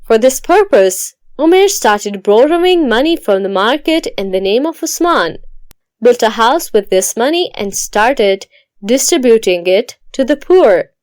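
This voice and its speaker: A woman's voice: a little high, animated, unhurried, a little mellow, dry recording. A woman reading scripted narration for a moral story as a storyteller.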